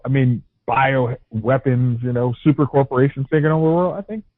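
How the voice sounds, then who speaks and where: A man on a movie podcast panel, pitching ideas hesitantly with lots of restarts and fillers. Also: a little fast. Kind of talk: casual podcast chat. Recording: dry, tinny phone-like sound.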